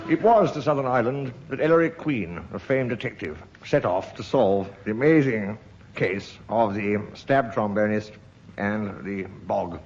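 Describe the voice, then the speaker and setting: A man's voice: lowish voice, unhurried, darkish tone. A man, a comedy panellist, spinning an improvised comic story. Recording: lo-fi radio recording with clear noise.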